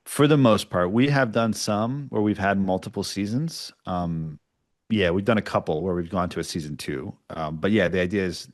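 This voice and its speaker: A man's voice: deep voice, moderate pace. A man speaking as an interview guest, explaining his work in an informal, conversational way. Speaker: podcast producer, interview guest. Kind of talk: casual explanatory interview talk.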